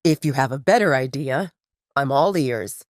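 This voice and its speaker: A woman voicing a commanding, irritated young male pack leader in an audio drama, speaking with measured authority. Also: very deep voice, lively intonation, dry recording, studio quality, voice pushed down. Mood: firm, measured. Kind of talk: audio drama narration dialogue.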